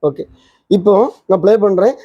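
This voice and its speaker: A man's voice: high-pitched, dark tone, no echo. A man hosting his channel, casually demonstrating a vintage twin-cassette tape recorder and pointing out its parts. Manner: quick, pointing out parts as he goes. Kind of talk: casual product demo. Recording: dry, dull lo-fi sound.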